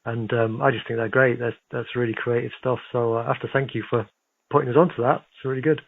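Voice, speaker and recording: A man of medium pitch interviews in a conversational, affirming way, as a radio host does. His voice comes through narrow and tinny, like a telephone connection. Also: steady tone, quick pace, vocal fry, breathy edge. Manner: encouraging, affirming. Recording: narrow phone-like radio sound.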